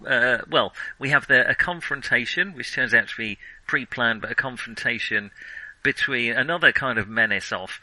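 Man, very bright sharp voice, casual film talk, hesitant and discursive, dry sound. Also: medium pitch, no room sound, low-quality recording. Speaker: podcast co-host.

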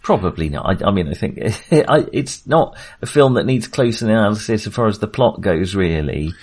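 A man co-hosting a casual film discussion, speaking in a halting way as he thinks aloud. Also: lowish voice, expressive, a little mellow, husky. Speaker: podcast co-host.

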